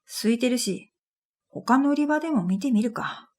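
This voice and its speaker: A woman's voice: fairly high pitch, expressive, moderate pace, a little mellow. A woman narrating a scripted first-person story for a radio drama in an introspective, matter-of-fact manner. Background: music.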